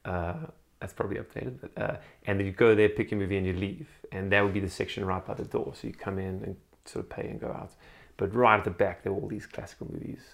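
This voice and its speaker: A man in his thirties with a low, gravelly voice, talking in a relaxed, rambling way. He tells stories loosely, full of fillers and small laughs. He sounds like a guest on a casual podcast. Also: quick pace. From South Africa. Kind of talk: casual chat.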